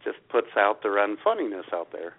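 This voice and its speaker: A man's voice: on the low side, very animated, a little fast. A man co-hosting an internet radio show, trading wry, mocking banter about the news over a thin, phone-like sound.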